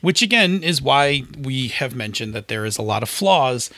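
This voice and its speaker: A man's voice: mid-range voice, lively intonation, moderate pace, bright, forceful tone, no echo. A man co-hosting a podcast, explaining things in casual chat and emphatically tagging his points with little check-in questions. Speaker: podcast co-host. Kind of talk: casual explanatory chat about tabletop gaming.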